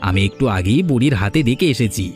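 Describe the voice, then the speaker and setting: Cartoon role, male actor: village boss's eager henchman, rapid, insistent patter with light music behind. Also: medium pitch, expressive, darkish tone, close to an everyday voice. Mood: eager reporting. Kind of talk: Bengali cartoon story voice acting.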